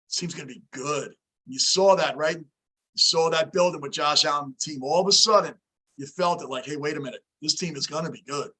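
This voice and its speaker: A man in his fifties hosting a casual sports fan livestream, reading the chat aloud and talking loudly and emotionally with a tired, worn-out voice. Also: a little high, breathy edge, poor audio. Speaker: livestream host.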